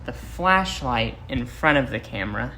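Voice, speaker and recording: A man's voice: mid-range voice, lively intonation, normal speed, sharp tone. American guy teacher doing a playful craft thing for little kids, noisy home video sound. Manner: playful, talks to young viewers as he works. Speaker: first-grade teacher. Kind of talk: casual craft demonstration for children.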